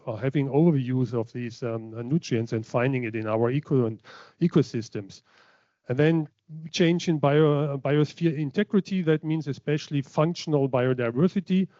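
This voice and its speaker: A man lectures from slides at a conference, pausing often on fillers and restarting his sentences. His voice sits a little high for a man, yet it sounds mellow and dark. The sound is dry and slightly muffled. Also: no room sound. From Germany. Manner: hesitant, many fillers and restarts. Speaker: keynote speaker.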